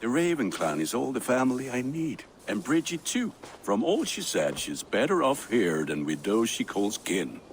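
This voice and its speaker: A man playing a warm-hearted, sentimental Viking blacksmith in a video game, speaking earnestly with halting tenderness. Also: medium pitch, very animated, slight background noise. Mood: sincere, humble. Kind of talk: dramatic game dialogue acting.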